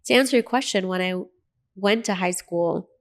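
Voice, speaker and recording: A woman's voice: mid-range voice, normal speed. A woman from Lebanon with an American accent and Arabic as her first language, telling her personal story as an interview guest in an earnest, emphatic way.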